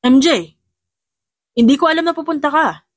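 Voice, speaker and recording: A woman's voice: high-pitched, expressive, moderate pace, no echo, voice pushed up. Woman acting a composed, firm businessman husband. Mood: casual.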